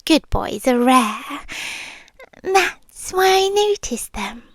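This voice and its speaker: Woman playing a slow, high-voiced British vampire girlfriend. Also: very animated, dry recording, full character voice. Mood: coaxing, affectionate. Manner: slow, hushed, lingering, coaxing with soft pauses. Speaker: ASMR roleplay performer. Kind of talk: intimate girlfriend roleplay ASMR, often whispered. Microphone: close microphone, dry.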